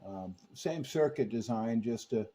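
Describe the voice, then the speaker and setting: Chatty folksy guy, mellow voice, explaining tech stuff casually like a hobby repair host. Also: medium pitch, expressive, measured pace. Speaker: radio repairer and video host. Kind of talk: casual technical talk and product commentary.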